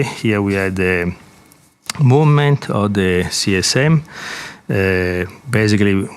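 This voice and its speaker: A man's voice: lowish voice, clear, resonant tone. A man explaining figures as an executive presenter to analysts, hesitating often and repeating words as he goes.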